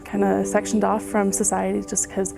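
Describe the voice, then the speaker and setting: A woman's voice: medium pitch, completely flat delivery, moderate pace, gravelly. A young woman from Colorado, a communications student, speaking earnestly and unhurriedly in an interview. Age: young adult. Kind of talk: documentary interview. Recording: faint music under the voice.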